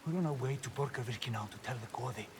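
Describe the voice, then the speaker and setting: A man playing an earnest, persuasive, protective Northman in a cinematic video game, halting and often hushed. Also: medium pitch, background noise, close to an everyday voice. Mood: urgent pleading. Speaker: game character actor.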